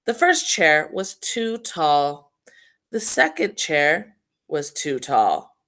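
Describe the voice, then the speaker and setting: A woman reading a children's storybook aloud in a playful, tongue-in-cheek manner with emphatic pauses. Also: low voice, big pitch swings, fairly bright tone. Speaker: school storybook reader.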